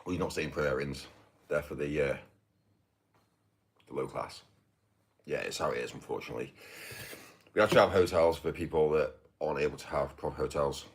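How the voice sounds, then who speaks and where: A British man with a deep voice, chatting casually on a livestream in a halting, mumbly way full of fillers. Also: husky. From United Kingdom. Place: indoors, getting ready to go out.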